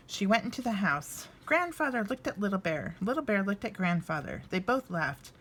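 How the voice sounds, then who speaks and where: Animated woman reading a storybook. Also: mid-range voice, moderate pace. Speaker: storybook reader. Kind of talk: expressive children's storybook narration.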